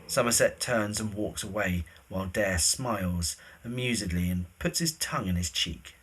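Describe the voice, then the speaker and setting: A man's voice: on the low side, expressive, moderate pace, a bit bright, breathy edge, low-quality recording. A man reading scripted, scene-setting narration for a period drama.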